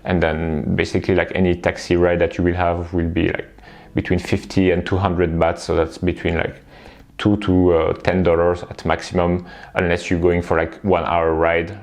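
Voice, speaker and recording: A man's voice: sits low, moderate pace. A man from Belgium, talking casually to his viewers and often dropping in "like" and "right?". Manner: relaxed, chatty, many fillers and tag questions. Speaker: vlogger and online coach. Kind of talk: casual talk to camera.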